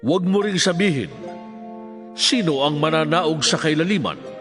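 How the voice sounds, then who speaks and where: A man's voice: on the high side, animated, moderate pace, music in the background, natural voice. A man playing a solemn, declamatory voice of prophets and God in a dramatized Bible reading.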